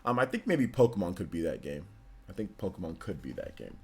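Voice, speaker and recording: A man's voice: low voice, very animated, a little fast, mellow, husky. A man in his forties chatting casually as a streamer, loose and filler-heavy, answering chat questions and laughing easily. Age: 40s. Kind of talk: casual livestream Q&A.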